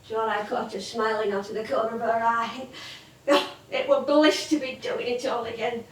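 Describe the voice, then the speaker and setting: Woman playing a high-voiced, wistful English stage veteran. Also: fairly even intonation, normal speed, natural voice. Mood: wistful delight. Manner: emotive, dramatic, reminiscing. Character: ageing music hall actress, proud, wistful, wounded. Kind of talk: theatrical stage monologue and dialogue. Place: theatre stage, echoing. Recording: roomy theatre stage, distant microphone.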